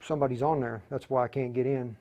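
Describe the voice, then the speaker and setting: A man hosting an unscripted live tutorial, speaking haltingly and often muttering to himself as he works. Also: mid-range voice, steady tone. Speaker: host of a live radio tutorial.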